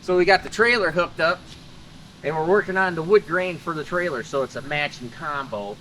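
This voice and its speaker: A man's voice: fairly high pitch, normal speed, a bit bright, a little hiss or hum, low-quality recording. A man hosting a car vlog, talking casually in a workshop, rambling with lots of filler words. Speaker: host of a car-building channel. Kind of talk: casual garage vlog talk.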